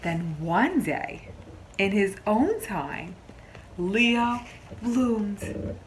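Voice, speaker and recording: A woman teacher reading a picture book aloud to young children, expressively, pausing to explain simply and ask questions. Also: mid-range voice, background noise. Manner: expressive storybook delivery, explains simply, pauses to question listeners.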